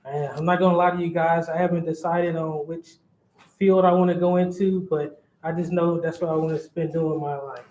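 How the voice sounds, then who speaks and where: A young man with a mellow, somewhat high voice introduces himself informally to a group as a student leader. The room is echoey. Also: little pitch movement, moderate pace. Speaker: student society president. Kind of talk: casual self-introduction to a group. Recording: echoey room with faint noise.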